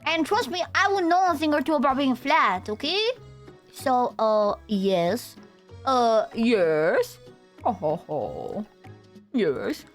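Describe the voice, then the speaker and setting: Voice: medium pitch, big pitch swings, slow, fairly bright tone, faint music behind. A young adult VTuber streamer with a Romanian accent, chatting with viewers in a goofy, rambling, playful way. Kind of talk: casual live-stream chat.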